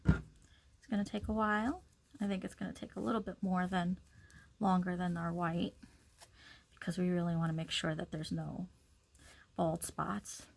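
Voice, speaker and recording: Woman craft instructor with a creaky voice. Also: medium pitch, darkish tone. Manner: informal, talks through each action while working. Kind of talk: step-by-step hands-on demonstration, casual.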